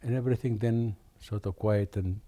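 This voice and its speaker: An older man from Aden, Yemen, slowly recalling memories in an oral history interview, with many pauses and restarts. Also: fairly low pitch, steady tone, dark tone.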